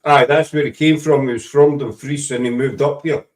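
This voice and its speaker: A man from Scotland with a Scottish accent, chatting casually in a halting way, often repeating words, with a dry sense of humor. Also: mid-range voice, fairly even intonation, recorded from a distance. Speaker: paranormal investigator. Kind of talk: casual chat.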